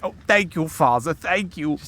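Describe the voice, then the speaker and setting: A man's voice: fairly high pitch, expressive, moderate pace, a bit bright. A man playing a frantic, guilt-ridden drunk penitent in an audio roleplay, fretful, pleading and effusive. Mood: grateful.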